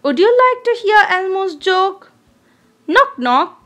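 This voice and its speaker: A woman reading a children's storybook aloud in an expressive, deliberate, child-directed way. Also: very high voice. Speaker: storybook reader.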